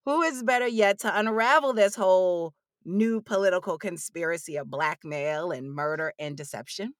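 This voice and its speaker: A woman who narrates audiobooks, speaking as an interview guest in an animated, conversational storytelling way. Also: lowish voice, normal speed, no echo, studio quality.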